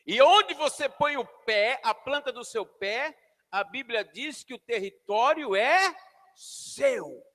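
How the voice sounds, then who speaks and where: A pastor preaching a sermon in a church, shouting then dropping to near whispers as he calls the congregation to repeat after him. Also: extremely high pitch, lively intonation, slow. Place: church hall. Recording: long hall reverb.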